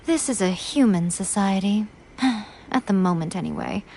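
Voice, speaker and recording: An actress playing a cunning, self-assured shrine maiden in a video game, playful, coy and knowing. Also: female voice, medium pitch, expressive, normal speed, mellow, a little hiss or hum, natural voice. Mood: airy, knowing. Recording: music under the voice.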